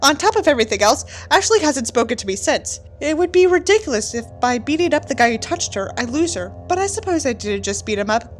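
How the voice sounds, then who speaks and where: A woman's voice: sits high, very animated, fast talker, few pauses, faint music behind. A woman giving a mock-dramatic reading of a book with theatrical, sarcastic delivery and candid asides. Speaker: host reading a book aloud with commentary.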